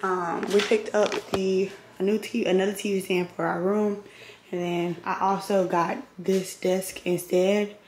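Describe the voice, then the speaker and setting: A woman in her twenties with a sick, hoarse, partly lost voice, chatting casually to vlog viewers. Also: medium pitch, little pitch movement, measured pace. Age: 20s. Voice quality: hoarse, breaking into whisper. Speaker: vlogger. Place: indoors, mostly a bedroom at home. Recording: clearly noisy camera audio.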